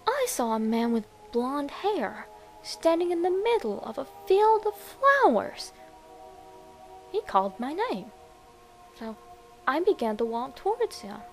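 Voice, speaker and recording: A woman voicing a deranged, lovestruck young woman who tells her morbid horror story in a breathy, dramatic way. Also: sits high, full character voice, poor audio. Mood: dreamy, breathy. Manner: demented, breathy, dramatic, slow with whispered words. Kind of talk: first-person horror story narration.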